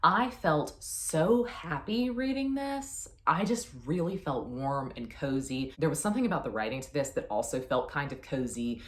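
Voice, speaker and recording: A woman with a strikingly low voice talks casually as a book reviewer. She is emphatic and opinionated, tossing in questions to herself, and the room around her adds a clear echo. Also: lively intonation, normal speed, few pauses. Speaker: book reviewer, video host. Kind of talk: casual book chat to camera. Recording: echoey, roomy sound.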